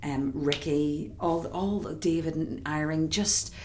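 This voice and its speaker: An actress with an Irish accent, a woman, chatting excitedly in an interview with many quick restarts. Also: low voice, a little hiss or hum. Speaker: musical theatre actress, guest. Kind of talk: casual interview chat.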